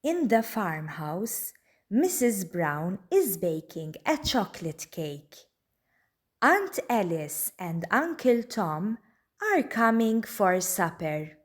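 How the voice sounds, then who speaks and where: A woman reading a children's storybook aloud in a deliberate, expressive way. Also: lowish voice. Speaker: children's book reader. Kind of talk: read-aloud storybook narration.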